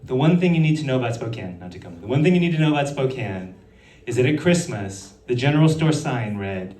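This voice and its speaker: A man's voice: mid-range voice, expressive, quick pace, darkish tone, slight background noise. A man performing a stand-up comedy set on stage, delivering his jokes with dry sarcasm. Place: on stage in a hall. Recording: roomy hall, picked up from a distance.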